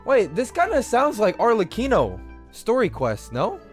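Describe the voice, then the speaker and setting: A man's voice: sits high, huge pitch swings, moderate pace, faint music behind. A man streaming a game, commenting casually with swearing, repeated words and blurted exclamations. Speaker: game livestreamer reacting to story.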